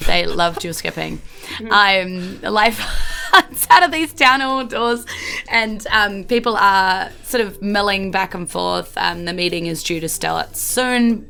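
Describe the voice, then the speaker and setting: A woman with a New Zealand accent narrating a tabletop game as game master in a relaxed, rambling way with plenty of fillers. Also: medium pitch, slow pace, vocal fry, echoey room.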